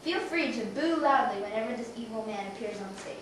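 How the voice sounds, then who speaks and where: High-voiced woman narrating in an echoey room. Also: animated, brisk. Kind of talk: scripted storytelling narration for children. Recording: very echoey room, distant, noisy.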